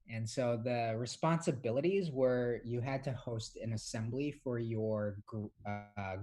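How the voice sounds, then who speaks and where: A man's voice: mid-range voice, a little mellow. A young man from Hong Kong, a podcast co-host, telling stories in casual chat with plenty of fillers and restarts. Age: young adult. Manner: rambling storytelling with many fillers and restarts.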